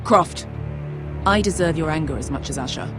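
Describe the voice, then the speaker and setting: A woman playing a tough, battle-scarred female sellsword in a video game drama, speaking bluntly and gruffly with plenty of swearing. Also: low-pitched, animated, moderate pace, music in the background. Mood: pleading.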